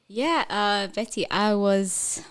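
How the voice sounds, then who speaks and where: A woman's voice: mid-range voice, slow, clear, resonant tone. A young to thirties woman from Ethiopia, chatting casually as an interview guest and often breaking into laughter. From Ethiopia, later the Netherlands. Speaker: podcast guest.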